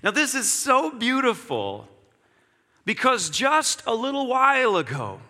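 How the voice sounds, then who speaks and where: A man's voice: very high voice, huge pitch swings, a little slow, sharp tone, big-room sound. A man preaching a sermon in an earnest, reflective way, repeating key phrases.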